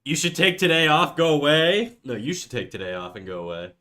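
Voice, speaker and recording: A man's voice: a little high, very animated, brisk, pushed and bright. A young man with a cold, sounding stuffy and nasal, chatting casually and swearing on a livestream. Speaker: streamer. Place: home room.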